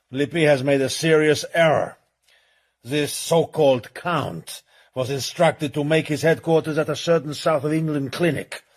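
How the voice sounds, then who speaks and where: A man acting in a radio drama as the coldly authoritative chairman of a criminal syndicate, formal, measured and commanding. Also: fairly high pitch, natural voice.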